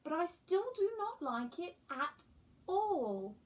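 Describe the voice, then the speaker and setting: A storybook baby barn owl, a small boy bird acted out by a woman reader. The voice is high and very lively in pitch, with an earnest, childlike emphasis. He sounds eager yet timid. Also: slow, dull audio, exaggerated voice.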